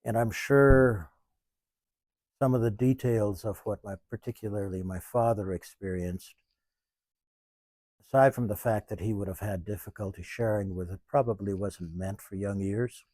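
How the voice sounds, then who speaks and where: A man in his seventies, talking in a measured, thoughtful way and pausing often mid-sentence, in an interview. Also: fairly low pitch, steady tone, darkish tone, dry recording. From Canada. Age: 70s. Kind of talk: reflective interview. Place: small museum.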